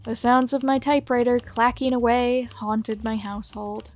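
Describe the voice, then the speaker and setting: A woman hosting a video who reads out short fan confessions one after another in a brisk, matter-of-fact way. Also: a little high, fairly even intonation, a little mellow, soft background music. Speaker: host reading viewer submissions. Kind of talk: reading aloud a list of fan confessions.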